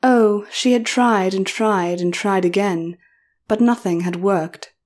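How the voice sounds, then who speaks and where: A woman's voice: medium pitch, slow pace, a little mellow. A woman reading scripted horror fiction as a storyteller, in narration.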